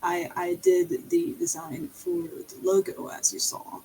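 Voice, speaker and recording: Young woman, lively pitch, creaky edges. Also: unhurried, slight background noise, poor audio. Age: young adult. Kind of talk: casual livestream chat.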